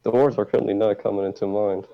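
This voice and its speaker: A man answering as a guest on a roleplay talk show, speaking haltingly and searching for words. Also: lowish voice, fairly even intonation, creaky. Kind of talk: in-character roleplay interview. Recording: muffled lo-fi mic.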